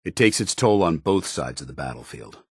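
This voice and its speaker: Very deep-voiced, stern military paladin. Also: male voice, big pitch swings, normal speed, breathy edge, no room sound, studio quality, exaggerated voice. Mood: earnest, solemn. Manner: formal, earnest, commanding soldierly delivery. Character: stern, principled male military paladin, loyal to his order. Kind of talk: video game companion dialogue.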